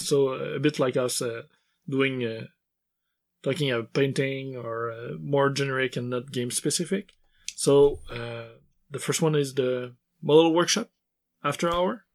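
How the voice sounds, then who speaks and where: A man co-hosting a hobby podcast, easygoing and quick to laugh. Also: medium pitch, a bit bright. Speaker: podcast co-host. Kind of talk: casual chat.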